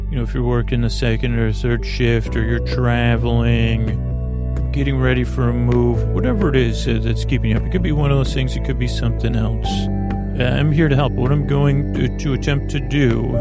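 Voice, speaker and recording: A man with a creaky, lulling voice hosting a sleep podcast, meandering through halting, tangential bedtime talk. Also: medium pitch. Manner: meandering, tangential, halting, self-deprecating nonsense. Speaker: podcast host. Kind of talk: rambling bedtime monologue to help listeners sleep.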